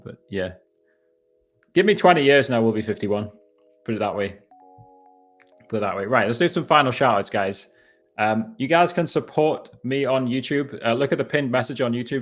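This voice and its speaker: Low-voiced northeast English man chatting. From northeast England. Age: 30s. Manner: rambling, self-correcting, laughs often. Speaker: live stream host. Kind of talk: casual live Q&A. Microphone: headphones on.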